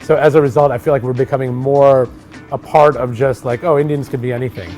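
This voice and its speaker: Relaxed middle-aged man chatting loosely. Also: medium pitch, fast, faint music behind. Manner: relaxed, rambling with many fillers. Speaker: actor co-hosting a chat. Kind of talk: casual conversation.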